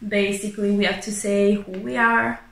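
A woman's voice: medium pitch, level tone, normal speed, gravelly, a little hiss or hum. A woman, explaining her situation to camera in a plain room. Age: 20s. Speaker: resident sharing experience. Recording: echoey room.

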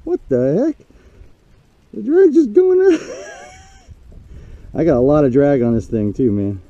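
A middle-aged man hosting a fishing video, talking in casual, excited banter out on an open boat, picked up by an action camera on the deck. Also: on the high side, slow pace, lo-fi sound. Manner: excited, exclaiming, slangy. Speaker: fishing channel host. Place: open boat on a lake, outdoors. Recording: loud wind and water noise.

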